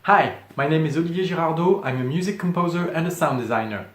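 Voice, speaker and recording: A man's voice: fairly high pitch, fast talker, reverberant. A man hosting his channel, talking casually to viewers. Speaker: channel host, sound designer.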